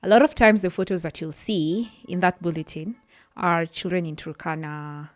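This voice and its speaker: A woman's voice: on the low side, steady tone, normal speed, dry recording. A woman sharing her personal story as a podcast guest, earnest and often restarting her sentences. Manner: earnest, searching for words with frequent restarts. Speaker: podcast guest, psychology worker. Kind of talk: personal testimony in a podcast interview.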